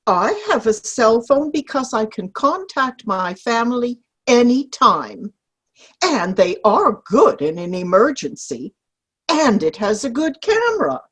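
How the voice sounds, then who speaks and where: A mild older woman keeping peace between friends in a stage comedy reading, acted by a woman. Her voice is slightly high and husky. She speaks slowly, with gentle, lively inflection. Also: full character voice. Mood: pleasant. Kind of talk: amateur play reading. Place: online video-call play reading.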